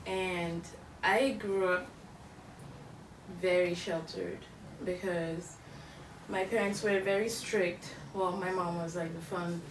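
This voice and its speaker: A young woman shares memories on a podcast, careful and self-correcting. Her voice is low and barely rises or falls. She sits away from the mic in an echoing room, with clear noise underneath. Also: fairly even intonation. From Cameroon. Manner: measured, self-correcting storytelling. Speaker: podcast co-host. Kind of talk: casual chat. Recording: echoey room, mic far off, background noise.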